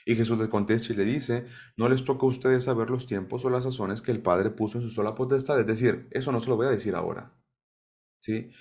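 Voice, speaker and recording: A man's voice: medium pitch, fairly quick, darkish tone. A man teaching a Bible study in sermon style, who keeps asking his listeners questions and checking in with them. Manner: asks the audience questions and checks in with "¿sí?".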